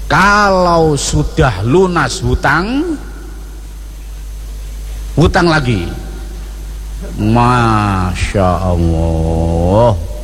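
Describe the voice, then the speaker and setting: A man with an East Javanese accent from Surabaya, preaching in a mosque with jokey, animated prayers for the congregation. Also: fairly low pitch, slight background noise. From East Java. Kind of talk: sermon with humorous prayers. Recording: reverberant mosque hall, faint ambience.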